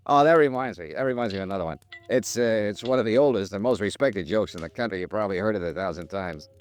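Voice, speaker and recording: An actor playing a wry, caring American investigator hero in old-time radio detective style, casual and colloquial. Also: male voice, lowish voice, quick pace, smooth, lightly acted. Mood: playfully joking. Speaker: lead actor and narrator. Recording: old radio drama with music.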